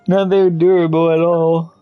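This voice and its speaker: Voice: mid-range voice, flat delivery, moderate pace, dry recording, phone-quality sound, faint music behind. A gaming streamer giving casual, unscripted commentary, hesitant and trailing off while mumbling to themselves. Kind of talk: casual gameplay commentary, thinking aloud.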